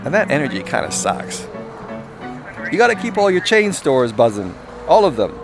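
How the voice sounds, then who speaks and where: A man's voice: fairly high pitch, very animated, moderate pace. A man reading his own irreverent self-help audiobook in a theatrical, cheeky, conversational way, with sweary asides. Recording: voice over background music. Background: composed music and soundscape.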